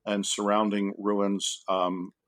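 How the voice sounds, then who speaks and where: Male professor guest, moderately low pitch, measured and hesitant, conversational podcast tone. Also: monotone, fairly bright tone. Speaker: academic guest, university professor. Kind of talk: casual podcast interview.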